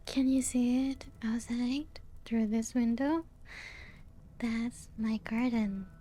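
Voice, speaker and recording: A woman playing a genteel, serene, playful ancient vampire in a soft-spoken ASMR roleplay, with a breathy, often half-whispered voice and gentle lilt. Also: a little high, steady tone, slight background noise. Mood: playful, teasing. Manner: slow, unhurried, gently lilting storytelling. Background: music.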